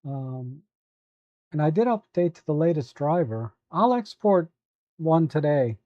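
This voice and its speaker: A hoarse man hosting a live photo editing tutorial, talking at an easy, halting pace with many fillers while answering viewers. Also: a little high, lively intonation, mellow, no room sound, highs cut off. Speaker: photo editing livestream host.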